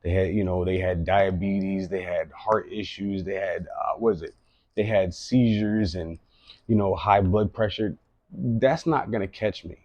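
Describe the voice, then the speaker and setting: A man's voice: low voice, steady tone, a little slow, a little mellow, dry recording, highs cut off. A man in his late thirties giving an earnest, rambling personal monologue, often repeating himself. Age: late 30s. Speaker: vlogger.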